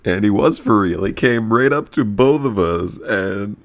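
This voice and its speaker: A man's voice: lowish voice, expressive, unhurried. A man telling a casual, profane comedic story in a rambling way, often repeating himself and stammering. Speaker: storyteller and video creator.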